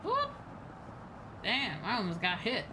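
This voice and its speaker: A woman's voice: mid-range voice, wildly swooping pitch, takes it slowly, background noise. A woman chatting casually on a game livestream and reading game dialogue aloud. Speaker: streamer playing a video game.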